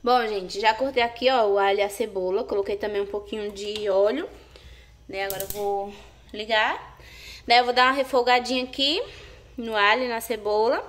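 A woman's voice: medium pitch, fairly even intonation, normal speed, a bit bright. A young Brazilian woman chatting casually to viewers as she cooks in a small home kitchen, often checking in with a "né, gente?". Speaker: cooking vlogger. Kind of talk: casual cooking chat to camera. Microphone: camera on the counter, partly hand-held over the stove. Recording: echoey tiled kitchen, faint background noise.

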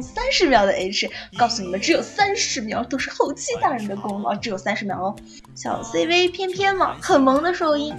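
A woman giving a casual, playful, teasing afterword as the writer of an audio drama. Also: a little high. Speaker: scriptwriter and planner of an audio drama. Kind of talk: casual cast-and-crew afterword.

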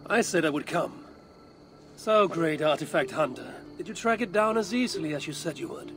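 An actor playing a proud, skeptical Viking clan leader in a video game, speaking in a sardonic, curt and dismissive manner. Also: male voice, a little high, lively intonation, normal speed, a bit bright, soft background music. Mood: impatient. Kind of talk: video game cutscene acting.

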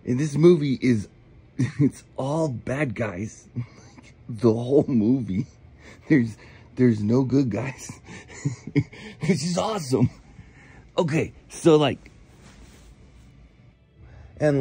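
A man's voice: medium pitch, warm tone, slightly rough. A man rambling casually in a slacker manner, drawing out his words as if leaving a comic phone message. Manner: laid-back slacker, drawn-out words, frequent "like", chuckling. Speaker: comic voicemail caller.

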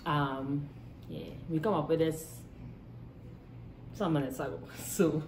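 A woman's voice: low voice, takes it slowly. A young woman co-hosting a vlog, speaking hesitantly with many fillers. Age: young adult. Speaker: vlog co-host. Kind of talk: casual chat. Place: small indoor room with dark backdrop. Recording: roomy echo, faint noise.

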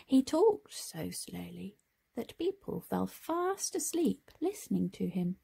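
A woman narrating a children's picture book, expressive and deliberate in her phrasing. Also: mid-range voice, creaky, husky. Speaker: storybook reader. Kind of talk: scripted children's story narration.

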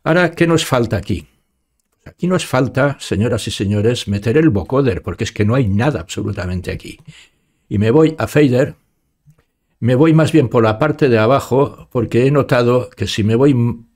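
A man's voice: mid-range voice, moderate pace, darkish tone. Older man with a Spanish accent, tutorial. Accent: Spain. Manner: didactic, talking through each step aloud. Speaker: tutorial presenter. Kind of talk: step-by-step software tutorial, informal. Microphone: studio microphone up close, with headphones.

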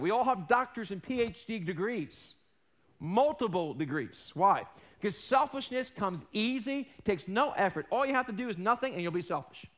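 High voiced preacher guy, kinda hoarse from losing his voice, fired up, throws questions at the crowd. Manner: animated, emphatic preaching that swings between loud and hushed; calls out questions to the congregation. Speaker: pastor. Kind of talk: sermon. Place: large church stage, indoors.

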